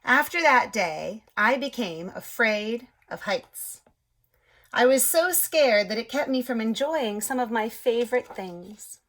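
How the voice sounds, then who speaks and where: A woman voicing a timid but hopeful young male egg in a storybook, speaking softly and dropping to a whisper at scared moments. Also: a little high, lively intonation, measured pace. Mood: wistful.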